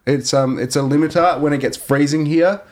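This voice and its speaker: A man chatting as a podcast guest, relaxed and reflective, with lots of fillers. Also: fairly high pitch, a little fast, a little hiss or hum. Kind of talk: casual podcast chat.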